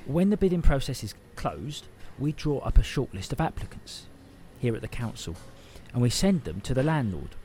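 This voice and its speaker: Actor as a British council official, mid-pitched man, bureaucratic, patient, evasive, audio play, slight background ambience. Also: sing-song, normal speed, dark tone, a little hiss or hum, lightly acted. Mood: placating. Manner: bureaucratic, hedging.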